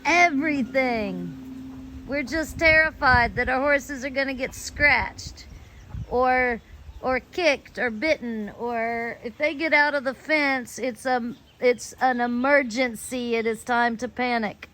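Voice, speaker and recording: An American woman telling rambling personal stories casually as a host, in a drawn-out way with some swearing. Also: high-pitched, bright, forceful tone. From United States. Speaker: host of a personal storytelling show.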